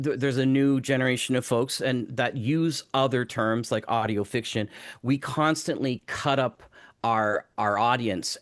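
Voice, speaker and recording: A man's voice: mid-range voice, a bit bright, no echo. Emphatic man in a dry-sounding space. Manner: emphatic, argues through examples. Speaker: panelist, audio drama maker. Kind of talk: opinionated panel discussion.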